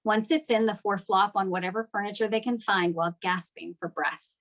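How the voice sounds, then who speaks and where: A woman's voice: medium pitch, fairly even intonation, brisk, fairly bright tone. A woman narrating the stage directions of a screenplay at a table read.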